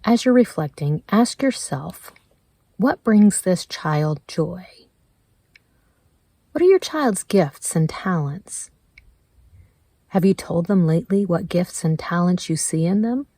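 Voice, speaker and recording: A woman leading a guided meditation in a gentle, measured way, leaving pauses between her prompts. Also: on the low side, animated, dry recording. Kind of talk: slow guided meditation narration.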